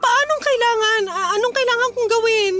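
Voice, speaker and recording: Very high-pitched, anxious young daughter. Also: female voice, fairly quick, bright, forceful tone, soft background music. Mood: panicked. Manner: anxious, emotional, pleading. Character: panicked, pleading young daughter fearing for her mother. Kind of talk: radio drama acting.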